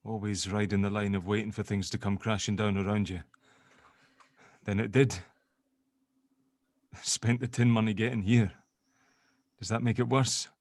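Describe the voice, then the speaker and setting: A man's voice: on the low side, steady tone, normal speed, pauses often, dry recording, lightly acted. An actor playing a nervous, guilt-stricken man who stammers and swears in a dramatic script read-through. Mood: weary, bitter.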